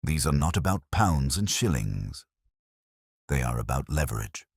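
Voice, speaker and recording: A man voicing a shrewd, softly spoken police inspector in a mystery story, deliberate and given to cryptic, philosophical remarks. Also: rumbling low, very animated, breathy edge, no echo, clean studio sound, heavily acted. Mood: grave, deliberate. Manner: murmuring, deliberate, aphoristic.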